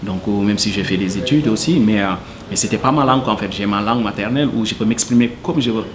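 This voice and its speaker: A man's voice: fairly low pitch, fast talker, a crowd in the background. A man from Senegal, answering interview questions earnestly and hesitantly, full of fillers. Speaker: cook, interviewee.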